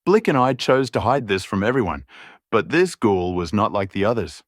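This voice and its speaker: A man's voice: on the low side, big pitch swings, normal speed, no echo, studio quality. A man voicing an earnest, uneasy young male wolf in an audiobook fantasy story, speaking in an urgent, questioning way. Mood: uneasy, confiding.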